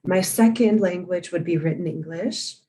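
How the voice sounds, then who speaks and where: A woman voicing a live sign language interpretation, her tone steady and measured, her pitch a little low. She sits well back from the mic on a video call, so she sounds distant. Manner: measured, steady, spells names letter by letter.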